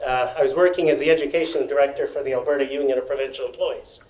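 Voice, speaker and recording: An older man telling stories at a panel in a meeting room, loud-voiced with wry joking asides, feeling unwell and dizzy. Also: medium pitch, steady tone, quick pace. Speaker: panel speaker. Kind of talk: storytelling at a panel. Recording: echoey room.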